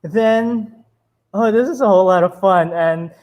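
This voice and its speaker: Podcast host guy, kinda high voice, super enthusiastic, lots of ums. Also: a little slow, highs cut off. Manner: enthusiastic, affirming, hesitant fillers. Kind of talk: casual interview chat.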